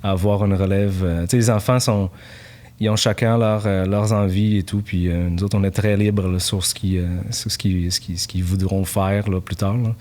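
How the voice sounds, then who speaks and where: A man in his forties from Portugal, a company founder chatting as a podcast guest in a relaxed way, with many fillers and restarts. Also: low voice, normal speed. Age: 40s. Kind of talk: casual podcast interview.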